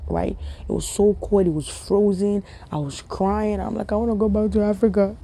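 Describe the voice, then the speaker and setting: A young woman from Ghana telling a funny personal story to her viewers in a casual, animated way, often repeating herself and laughing. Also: mid-range voice. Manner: animated, repetitive, laughing, talks to viewers as family. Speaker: vlogger.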